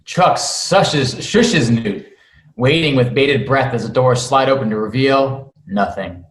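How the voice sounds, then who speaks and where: A man's voice: mid-range voice, slow pace. A man reading stage directions aloud as screenplay narration at a cast table read. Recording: echoey room.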